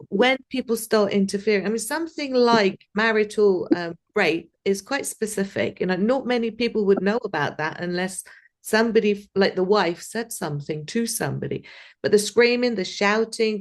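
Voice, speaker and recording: A woman from Iran who hosts a casual discussion, speaking reflectively with many fillers. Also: mid-range voice.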